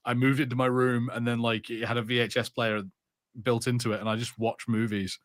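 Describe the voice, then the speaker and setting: A man co-hosting a podcast, telling casual anecdotes in a halting, rambling way and often repeating words. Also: medium pitch, flat delivery, no echo, clean studio sound. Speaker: podcast co-host. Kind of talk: casual chat and personal anecdotes.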